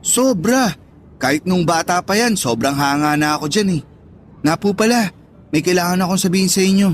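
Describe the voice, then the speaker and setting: A high-voiced, affectionate young son. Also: male voice, lively intonation, brisk, slight background noise. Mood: warm, eager. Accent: Filipino. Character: Jasper, affectionate, needy young grown son and big brother. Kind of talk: radio drama dialogue acting.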